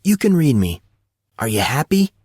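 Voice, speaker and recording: A man's voice: medium pitch, big pitch swings, normal speed, a little mellow, breathy edge, no room sound, voice pushed up. A man voicing a flustered, defensive fourteen-year-old boy in an audio story, incredulous and exasperated in manner.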